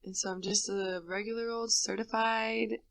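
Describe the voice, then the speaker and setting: A young woman from the United States with a deep voice, joking around as a podcast co-host. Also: steady tone, normal speed, creaky. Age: young adult. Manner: playful, jokey. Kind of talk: casual chat.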